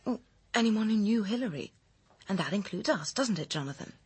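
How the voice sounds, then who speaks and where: An actress playing a cool, manipulative woman who insistently coaches her lover in a radio drama, with a husky, controlled voice. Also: mid-range voice, lively intonation, a little fast, no room sound. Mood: guarded, urgent.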